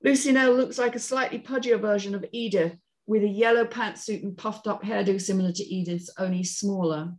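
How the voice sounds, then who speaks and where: Female narrator for a script read, medium-pitched, delivering action lines. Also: steady tone, a little fast, few pauses, a little mellow. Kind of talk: scripted narration of screenplay directions. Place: indoor room at home, on a video call.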